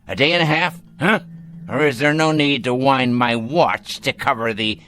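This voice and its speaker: A man's voice: medium pitch, normal speed, sharp tone, close to an everyday voice. An actor playing an elderly, dying rich man in a radio drama, cantankerous and sardonic, with florid speech. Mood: wry, probing.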